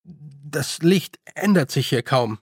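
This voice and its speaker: Audiobook role: man playing a young boy, lordly yet unsure, stammering, raised pitch, husky edge, dry sound. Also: lively intonation, slow pace, no room sound, exaggerated voice. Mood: uneasy. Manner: hesitant, stammering, slightly haughty. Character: young boy, lordly but naive and nervous. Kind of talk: audiobook character voice.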